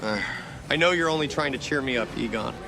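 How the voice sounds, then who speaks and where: A man's voice: medium pitch, quick pace, lightly acted, low-quality recording. An actor voicing a wisecracking, laid-back male ghost hunter with a dry, sarcastic delivery for a motion comic. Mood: deadpan scheming. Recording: loud music bed under voice.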